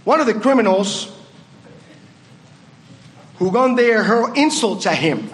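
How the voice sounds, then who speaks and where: A man's voice: high-pitched, animated, slow. A man with a Puerto Rican accent giving a reflective communion meditation at a church service and asking the congregation questions. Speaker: communion speaker.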